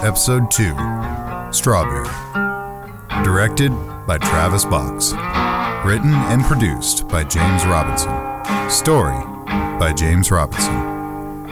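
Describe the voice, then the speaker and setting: A man's voice: low voice, very animated, slow pace, long pauses, over loud music. A man reading scripted credits as an announcer. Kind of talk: scripted narration.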